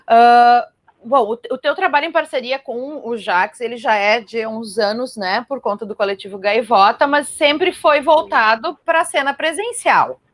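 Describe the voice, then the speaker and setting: A woman with a Brazilian Portuguese accent hosts an arts festival programme. Her voice sits a little high as she presents and interviews. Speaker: festival show host and interviewer.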